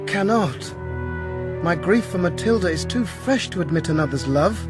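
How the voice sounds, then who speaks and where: Sad young prince, lightish higher voice, earnest, gothic radio play with music. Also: male voice, animated, moderate pace. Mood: mournful. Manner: earnest, mournful, formal. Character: grieving, melancholy young male prince. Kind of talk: gothic radio drama acting.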